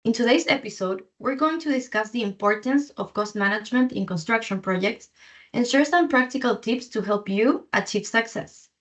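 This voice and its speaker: A woman hosting a podcast, introducing the show and asking smooth, prepared questions in a friendly way. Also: fairly high pitch, moderate pace, a bit bright, dull audio. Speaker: podcast host.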